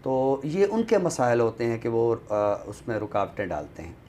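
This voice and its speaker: A man with a Pakistani accent speaks as a guest in a thoughtful discussion, formal and deliberate, pausing often. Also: mid-range voice, expressive, mellow, slight background noise. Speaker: guest commentator on a literary show.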